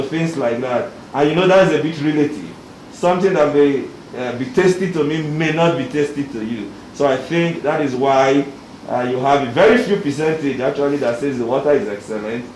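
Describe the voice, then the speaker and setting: A man's voice: mid-range voice, a little mellow. A South African man, a university expert, giving formal testimony at a hearing and explaining at length with frequent "you know"s. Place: large hearing room. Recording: echoey hall, faint noise.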